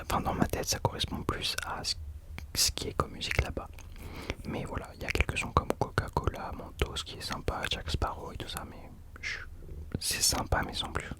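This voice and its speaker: A man speaks entirely in a soft, breathy whisper, in the style of an ASMR host. His delivery is casual and chatty commentary, with hesitations and self-deprecating corrections along the way.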